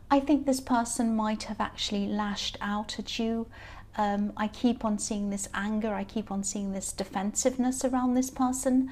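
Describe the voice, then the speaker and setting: Tired, croaky-voiced woman reading tarot. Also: fairly high pitch, darkish tone. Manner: rambling, hesitant, many fillers and restarts. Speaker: tarot reader. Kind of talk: casual tarot reading to camera.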